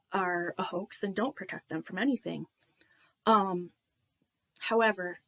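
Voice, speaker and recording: Wry, slow-talking woman with dry sound. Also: mid-range voice, no echo. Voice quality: slightly nasal. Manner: joking, wry, many "um" pauses. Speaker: video creator. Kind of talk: self-deprecating talk to camera.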